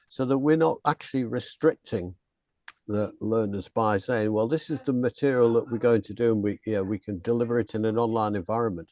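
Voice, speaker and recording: Low, mellow man asking reflective questions. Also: no echo, muffled recording. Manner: reflective, long winding questions. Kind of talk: conversational discussion.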